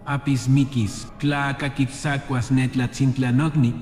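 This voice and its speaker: A man playing a proud, scheming god in a fan-dubbed animated drama, solemn and declamatory in delivery. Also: mid-range voice, measured pace, soft background music, close to an everyday voice. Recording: echoey hall reverb, noisy background.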